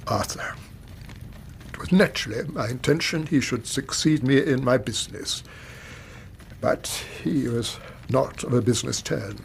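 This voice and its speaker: A middle-aged English banker in a radio drama, a widowed and doting father sick with worry. His voice creaks as he speaks in formal, halting, agitated phrases. There is faint noise behind him. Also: medium pitch. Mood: regretful.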